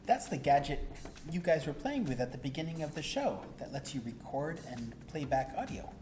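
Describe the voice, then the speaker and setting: A woman's voice: deep voice, very animated, moderate pace, dark tone. A woman instructor presenting a hands-on tutorial, explaining step by step and prompting with questions. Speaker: presenter and instructor of a DIY electronics show. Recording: roomy echo, music behind. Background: background music.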